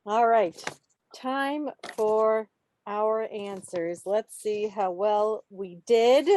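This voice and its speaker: A woman with a fairly high voice, hosting a casual club quiz online. She speaks slowly and playfully, and the sound is close and dry. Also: darkish tone, dry recording, low-quality recording. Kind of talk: casual quiz presenting to a group. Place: online video meeting. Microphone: video call microphone, dry sound.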